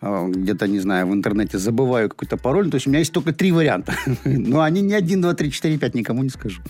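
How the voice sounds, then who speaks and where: A man's voice: medium pitch, expressive, quick pace, a little mellow. Joking male radio co-host. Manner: joking, chatty, laughs easily. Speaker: radio morning show co-host. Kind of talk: casual on-air banter. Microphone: studio microphone.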